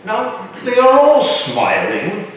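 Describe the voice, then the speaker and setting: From far off in a very echoey room comes a man playing an older colonial governor, his voice on the high side. He is imperious and formal, with a dry, shrewd edge, as in a historical stage play. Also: wildly swooping pitch, takes it slowly, a little hiss or hum, heavily acted. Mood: suspicious, commanding. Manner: commanding, formal, wry.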